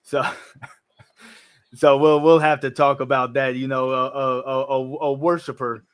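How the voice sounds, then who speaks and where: A man in his early thirties with a smooth, resonant voice hosts a laid-back conversation. He sounds easygoing and agreeable, restarting his sentences as he works through his thoughts, at an everyday middle pitch. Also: a little slow. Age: 30s. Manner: relaxed, agreeable, thinks aloud with restarts. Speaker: podcast host. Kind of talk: casual chat.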